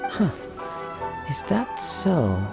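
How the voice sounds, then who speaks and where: A man playing a calm, modest young wandering samurai in a video game, speaking politely and reflectively. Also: on the high side, very slow, vocal fry, loud music behind the voice, exaggerated voice, poor audio. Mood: measured, probing. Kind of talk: scripted video game dialogue.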